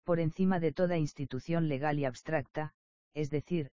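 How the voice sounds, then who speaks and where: Guy reading a dense book out loud, flat steady read, muffled lo-fi dead-dry sound. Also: fairly high pitch, moderate pace, no room sound. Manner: even, steady reading that voices page numbers and footnote marks. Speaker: reader of an academic book. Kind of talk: reading nonfiction text aloud. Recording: dull, lo-fi dry recording.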